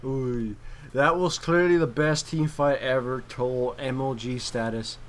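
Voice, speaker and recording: A man's voice: medium pitch, fairly even intonation, unhurried, a little hiss or hum. A man giving casual, chatty commentary on a video game replay as one of the players.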